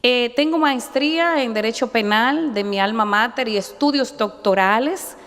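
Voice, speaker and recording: A woman's voice: a little high, moderate pace, fairly bright tone. A middle-aged woman judge, speaking formally and measuredly with devout asides, a little nervous. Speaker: judge and candidate. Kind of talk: formal hearing testimony. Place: large hearing chamber. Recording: long reverb in a hall.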